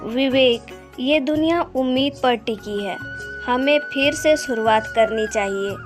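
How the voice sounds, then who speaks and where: A woman voicing a hopeful, earnest farmer's wife who gently persuades in a moral-story cartoon. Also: sits high, unhurried. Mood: hopeful, encouraging. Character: hopeful, encouraging poor farmer's wife, adult village woman. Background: background music.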